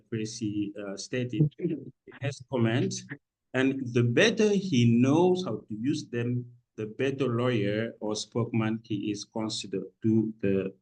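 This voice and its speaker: Slow-speaking man from Senegal. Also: mid-range voice, a little mellow, low-quality recording. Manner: halting, with many fillers and restarts. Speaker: academic presenter. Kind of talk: conference paper presentation. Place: conference room.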